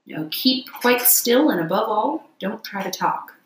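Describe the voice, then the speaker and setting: A woman voicing a kindly, hearty gentleman motorist from a storybook in an emphatic, well-mannered way. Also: on the high side, lively intonation, unhurried, echoey room, low-quality recording. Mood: kindly concern.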